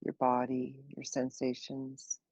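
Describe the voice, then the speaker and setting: A woman leading a guided meditation, speaking slowly and gently in an unhurried way with long pauses. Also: very deep voice, monotone, no echo, dull audio. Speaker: meditation teacher.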